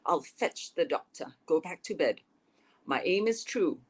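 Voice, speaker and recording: A woman's voice: on the low side, animated, a little slow, slightly rough, pitched above the performer's own voice. A woman voicing a fearless, self-sufficient young girl hunter in a fairy-tale poem, bold and reassuring. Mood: confident reassurance. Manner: bold, reassuring, plain-spoken.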